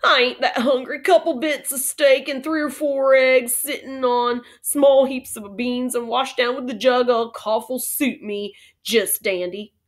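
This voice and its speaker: A woman's voice: high-pitched, fairly even intonation, measured pace, few pauses, voice pushed up. A woman voicing a tiny, weepy, bewildered cowboy man in a children's storybook, with a folksy American cowboy drawl and plenty of slang. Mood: bashful, hungry.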